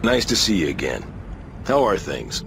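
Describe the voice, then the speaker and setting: A man's voice: sits low, big pitch swings, moderate pace, creaky, noisy background, full character voice. An actor playing an easygoing male bartender in a video game cutscene, giving brief replies. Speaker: game character.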